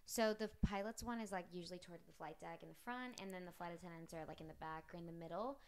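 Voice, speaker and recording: A chatty young woman with a somewhat low voice hosts a casual couple's question-and-answer video. She reads each question aloud and laughs easily. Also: fast talker, a little mellow. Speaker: flight attendant and vlog host.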